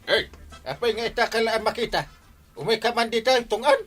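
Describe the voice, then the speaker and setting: An actor playing a jovial village captain who cadges free snacks, in an Ilocano comedy drama. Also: male voice, sits high, expressive, fairly quick, fairly bright tone, soft background music. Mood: jovial, wheedling.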